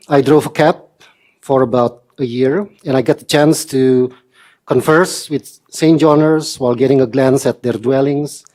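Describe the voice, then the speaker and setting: A man in his forties from Indonesia, speaking earnestly in a measured, slightly formal way as a member of the public addressing a council meeting. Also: medium pitch, warm tone. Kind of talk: prepared public statement.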